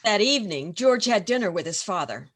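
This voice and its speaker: A woman's voice: medium pitch, animated, fairly quick, a bit bright, slightly rough. A woman acting as the narrator of an amateur radio play, telling the story from a script. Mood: storytelling.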